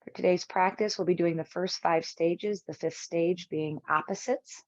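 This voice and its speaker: A woman with a fairly low voice leads a guided meditation as a yoga instructor. She speaks in soothing, measured phrases separated by long pauses. The recording is somewhat dull and quite dry, with little room sound. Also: steady tone, dry recording.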